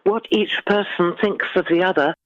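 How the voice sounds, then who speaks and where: A middle-aged British woman, an author giving measured, formally phrased answers in a literary interview. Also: lowish voice, dry recording, muffled recording. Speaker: guest author.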